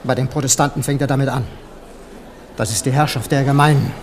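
A man's voice: mid-range voice, steady tone, brisk, slight background noise, close to an everyday voice. A man playing an indignant, haughty nobleman, grumbling with scorn in a radio drama. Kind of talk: 1970s German radio drama acting.

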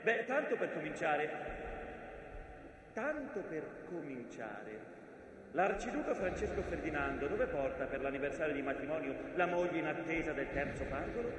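Man acting as echoing, high-voiced theatrical mountain. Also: animated, normal speed, close to an everyday voice. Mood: sardonic. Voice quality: projected, theatrical. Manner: declamatory, dramatic, ironic storytelling. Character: a mountain, male, ancient theatrical witness of war, sardonic. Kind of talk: theatrical monologue. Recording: echoey theatre stage, distant mic, faint noise.

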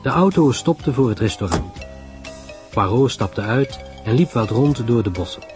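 A man's voice: medium pitch, lively intonation, unhurried, music in the background. A man narrating a mystery story in a dramatic, scripted reading style.

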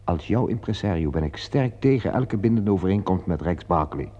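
A man's voice: low-pitched, expressive, brisk, warm tone. A man playing a cool, sardonic theatrical agent in a radio play, dry, teasing and unruffled. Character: cool, sardonic impresario of a young actress. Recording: dull old recording, long reverb.

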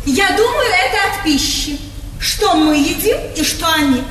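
A haughty, frivolous noblewoman of stage comedy, gushing and self-assured. Her high voice swoops animatedly through affected society phrases. It echoes from a distant theatre stage. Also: lively intonation, unhurried, a bit bright, slight background noise, full character voice. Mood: airy, opinionated. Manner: affected, gushing society speech with French words.

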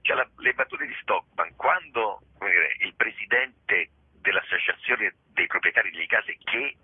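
A man's voice: on the low side, expressive, moderate pace, breathy edge, no room sound. A man, a theatre director talking through his show in a radio interview, reflective and digressive with many restarts, over a phone line. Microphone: telephone.

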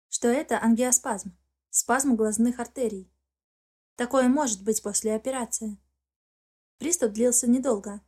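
A woman narrating a first-person novel as an expressive, scripted audiobook reading. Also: fairly high pitch.

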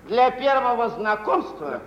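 An actor playing a tipsy, pompous, sponging gentleman in a mid-century radio comedy, with a haughty, grandiose theatrical delivery. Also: very high voice, sing-song, a little slow, exaggerated voice. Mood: grand, wheedling. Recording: muffled, noisy, echoey old radio recording.

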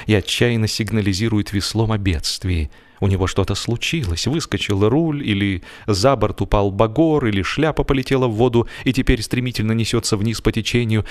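A man with a fairly low voice reads humorous literary prose as an audiobook narrator. His storytelling is measured and expressive, with deliberate phrasing.

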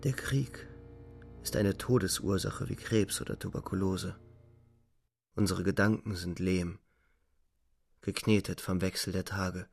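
A weary young soldier reflects on war in a radio play monologue. His voice is low with a gravelly creak, and his delivery is grave, deliberate and despairing. Music runs softly beneath. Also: fairly even intonation, dry recording, exaggerated voice. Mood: weary, reflective. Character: twenty-year-old German soldier, weary, despairing, reflective. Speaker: narrator.

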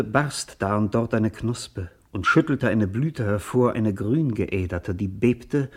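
Husky lowish guy doing solemn poetic radio drama narration, measured and declamatory.

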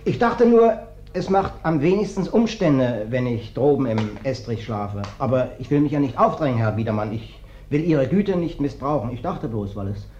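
A man's voice: mid-range voice, moderate pace, slight background noise, natural voice. Man acting a wheedling, swooping sly vagrant. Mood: falsely modest. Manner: flattering, wheedling, theatrical. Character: ingratiating sly male vagrant guest, a former wrestler. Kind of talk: German radio play acting. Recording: dull, roomy old radio recording.

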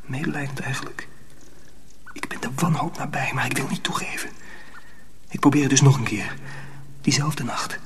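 A man's voice: mid-range voice, steady tone, moderate pace, pauses often, lightly acted. An actor playing a weary, bewildered young apprentice who tells his own story in intense, urgent phrases in a radio drama. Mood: desperate, weary. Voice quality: husky, breathy, half-whispered. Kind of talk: first-person radio drama narration.

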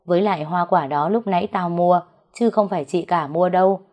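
Casting: woman reader as young male lover, straight-talking with a teasing streak, storybook drama, somewhat low pitch. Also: a little fast, a little mellow, natural voice. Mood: blunt, firm. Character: young man, medical student, blunt yet teasing lover.

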